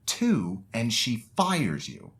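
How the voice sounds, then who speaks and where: Very animated, needling buddy played by a man. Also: mid-range voice, very slow, echoey room, full character voice. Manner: playful, needling. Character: chummy, needling male realtor friend. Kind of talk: romantic comedy radio play dialogue.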